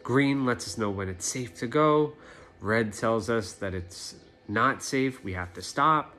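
A man's voice: mid-range voice, a little slow, sharp tone, soft background music. A man in his thirties reading a children's story aloud expressively, as a storyteller.

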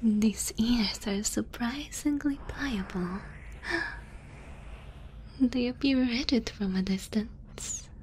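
Casting: woman to play analytical alien girl researcher, detached and curious, slow ASMR whisper over faint background ambience. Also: fairly high pitch, darkish tone, a little hiss or hum, poor audio. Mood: fascinated. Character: clinical, curious alien girl studying a human.

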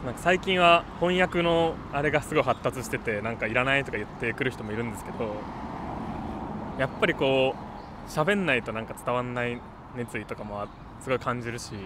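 A man's voice: medium pitch, a little fast. A young man in his twenties, giving a casual, chatty interview answer. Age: 20s. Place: outdoors by a campus gate. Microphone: handheld mic. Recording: outdoor street noise.

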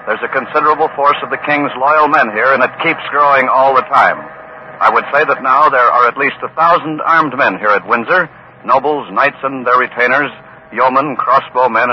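American newsman, male actor, mid-pitched, sober 1940s field reporting, faint noise behind. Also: natural voice. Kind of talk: 1940s radio news reporting, dramatized. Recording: dull old radio recording, faint noise.

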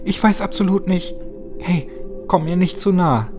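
A man voicing a trusting young woman who speaks in a halting, pleading manner in a horror audiobook. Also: high voice, over music, pitched above the performer's own voice. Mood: panicked, pleading.

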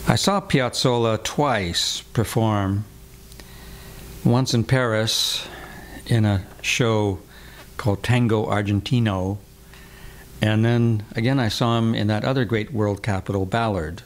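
An older man, a guest musician, telling relaxed stories with dry puns in a living room. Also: fairly low pitch, slow pace, long pauses, slight background noise. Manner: relaxed, wry, punning. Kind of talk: conversational storytelling.

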